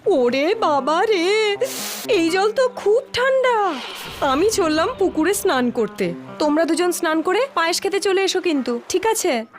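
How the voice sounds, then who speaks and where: A woman's voice: very high voice, very animated, a little slow, soft background music, full character voice. A woman voicing a sly, chatty fox in a children's cartoon, with an exaggerated sing-song delivery. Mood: squeamish.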